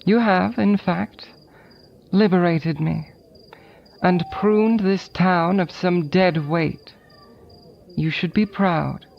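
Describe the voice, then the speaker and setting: An actress playing a cold, commanding noblewoman who speaks slowly and condescendingly. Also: on the low side, creaky. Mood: composed. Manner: slow, measured, condescending. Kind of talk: audiobook drama acting. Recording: muffled with faint music.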